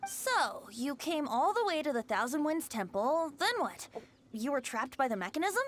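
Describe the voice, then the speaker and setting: A woman's voice: sits high, big pitch swings, normal speed, a little hiss or hum. A woman voicing a witty, composed young female companion in an anime-style game, articulate and teasing. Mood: inquisitive. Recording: game music clearly behind the voice.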